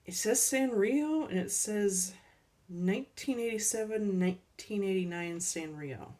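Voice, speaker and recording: A woman casually showing off her thrift store finds, unhurried and chatty with ums and little laughs, her voice a bit worn as she is losing it. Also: medium pitch, mellow, recorded from a distance. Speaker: collecting channel host. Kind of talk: casual thrift haul chat.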